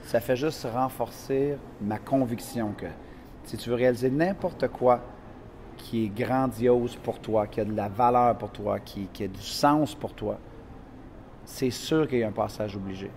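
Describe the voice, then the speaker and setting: Animated Quebec French storyteller man. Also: medium pitch, a little slow, slight background noise. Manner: animated, acts out scenes and quotes. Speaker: motivational speaker, guest. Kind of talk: casual storytelling chat.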